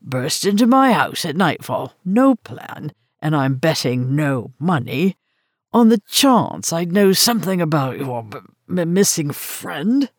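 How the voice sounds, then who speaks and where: A woman voicing an old, drunk British woman with a low, gravelled, slurred and ferocious voice who drawls slowly and with contempt. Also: sing-song, no echo, clean studio sound, exaggerated voice. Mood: scornful.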